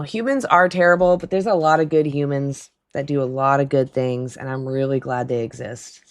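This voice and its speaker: A woman with a low, raspy, hoarse voice hosts a live call-in stream. She speaks in a loose, chatty way, laughs between points and answers her chat as she goes. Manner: chatty, laughs often, talks back to chat. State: hoarse throat. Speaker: livestream call-in host. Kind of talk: casual livestream chat with callers.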